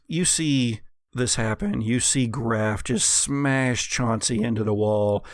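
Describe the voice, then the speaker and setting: An American man runs a tabletop game at an unhurried pace, describing scenes and ruling on the action. His mid-pitched voice has a creaky edge, with deliberate pauses between phrases. It is recorded dry and close. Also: dry recording. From United States. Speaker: game master. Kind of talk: tabletop game narration and rulings for an actual-play podcast. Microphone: close studio microphone.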